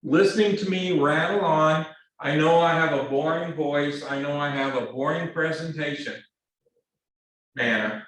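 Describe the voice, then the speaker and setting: A man lecturing a math class, speaking in a halting, earnest way with repeated words and many fillers. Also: on the high side, a bit bright. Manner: earnest, pleading. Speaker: math instructor. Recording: very echoey classroom, distant mic.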